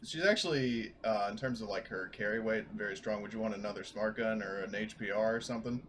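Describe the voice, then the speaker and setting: A man's voice: fairly low pitch, quick pace, a bit bright, a little hiss or hum. A man casually narrating a character's actions as a player in a tabletop roleplaying game.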